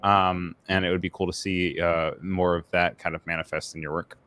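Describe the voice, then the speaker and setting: A man's voice: deep voice, little pitch movement, moderate pace, a bit bright. An American man hosting a live-streamed talk show, asking questions in an easygoing way with many fillers. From United States.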